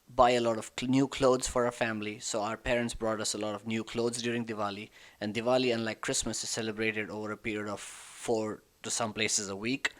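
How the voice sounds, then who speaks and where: A man's voice: lowish voice, fairly bright tone. A man from India with an Indian accent, presenting and sharing personal memories as a guest.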